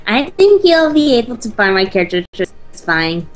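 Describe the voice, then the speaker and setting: A woman's voice: mid-range voice, very animated, normal speed, faint music behind. A woman chatting casually as a co-player during a let's play of an online game. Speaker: co-player, guest in gaming video. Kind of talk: casual chat while playing an online game.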